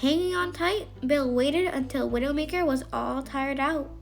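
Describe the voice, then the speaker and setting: A woman narrating a scripted children's bedtime story in an expressive, emphatic way. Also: sits high, moderate pace, creaky, faint music behind.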